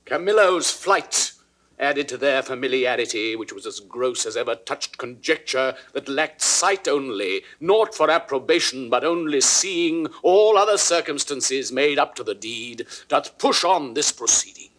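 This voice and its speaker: A man's voice: medium pitch, animated, unhurried, a bit bright, poor audio. An actor playing a jealous, imperious king in declamatory, haughty Shakespearean audio drama. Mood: angry, scornful. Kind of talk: classical Shakespearean stage acting.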